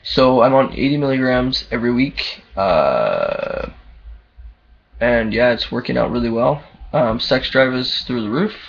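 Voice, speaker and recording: Low, gravelly young man on a vlog. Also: lively intonation. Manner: candid and unhurried, many ums and uhs. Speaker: vlogger. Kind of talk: casual video diary. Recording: dull lo-fi camera audio, faint hum.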